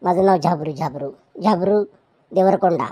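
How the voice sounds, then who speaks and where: A woman's voice: lowish voice, normal speed, warm tone, husky. A woman voicing a chatty village customer who rambles eagerly and haggles. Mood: eager haggling.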